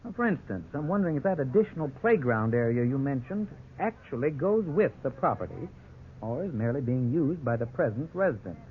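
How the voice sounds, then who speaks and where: An actor playing a frank, authoritative lawyer in an old radio drama, formal and instructive. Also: male voice, mid-range voice, big pitch swings, fairly quick, lightly acted. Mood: explanatory. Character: measured, frank, authoritative male lawyer advising clients. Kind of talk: 1950s radio drama acting. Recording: tinny, noisy old radio broadcast.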